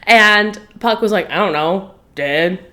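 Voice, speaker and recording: Animated woman podcast co-host. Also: medium pitch, slow pace. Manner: animated storyteller, acts out quotes. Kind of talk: casual chat. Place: indoor room, recording in person. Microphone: podcast mic.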